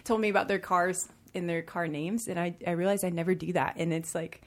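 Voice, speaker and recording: Young woman, soft voice, giggly podcast guest, lots of yeahs. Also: on the low side, steady tone, a little fast. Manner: chatty, giggly, lots of agreeing. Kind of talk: casual chat.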